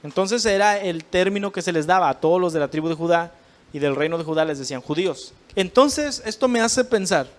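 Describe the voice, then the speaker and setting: A man's voice: high-pitched, expressive, brisk. A man with a Mexican accent preaching a sermon, explaining and addressing his listeners directly. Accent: Mexican Spanish. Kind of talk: sermon teaching.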